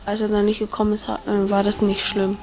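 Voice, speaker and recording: A woman's voice: mid-range voice, monotone, fast talker, faint music behind. A woman giving casual remarks in an interview recorded on location. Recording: dull, slightly noisy field recording.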